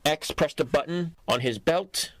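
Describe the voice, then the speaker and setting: A man's voice: fairly high pitch, measured pace, background noise. A man reading scripted third-person narration for a dramatic superhero audio story.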